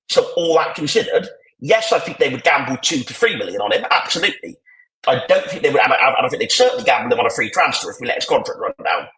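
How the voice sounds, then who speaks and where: A croaky, tired-sounding man talks through his opinions in a rambling, restart-heavy way, his voice at an ordinary middle pitch. He speaks into earbuds over a video call, so the sound is thin and echoey. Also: fairly bright tone. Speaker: podcast panelist. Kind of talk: casual podcast discussion. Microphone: AirPods on a video call. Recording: echoey, poor sound quality.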